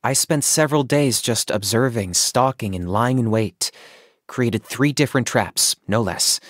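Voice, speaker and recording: Male actor as stoic young monster-hunting mercenary for a game. Lowish register, dry close sound, pragmatic, measured deadpan. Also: dry recording, close to an everyday voice. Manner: deadpan, matter-of-fact, measured. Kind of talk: scripted video game character monologue.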